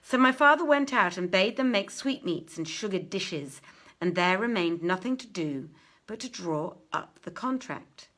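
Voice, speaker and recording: Measured woman storyteller, deliberate and literary. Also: medium pitch, lively intonation, a bit bright. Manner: measured, deliberate phrasing with dramatic pauses. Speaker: storyteller reading aloud. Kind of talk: expressive literary storytelling, old-fashioned prose read aloud.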